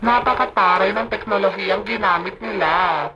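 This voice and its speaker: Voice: mid-range voice, normal speed, highs cut off, over loud music. Campy Filipino vlogger through a voice changer. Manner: campy, theatrical slang-filled delivery. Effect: voice-changer helmet filter. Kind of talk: casual playful vlog chat. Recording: loud background noise, electric fan nearby.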